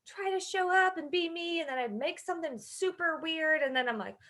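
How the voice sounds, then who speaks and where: A woman's voice: extremely high pitch, a little fast, pushed and bright. A woman co-hosting a podcast in casual banter, playful and self-deprecating, with dramatic emphasis. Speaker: podcast co-host.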